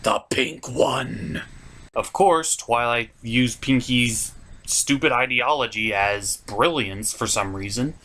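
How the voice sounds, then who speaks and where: A man's voice: mid-range voice, slow, pushed and bright. A man delivering a ranting, profane review as an online host, exasperated and emphatic.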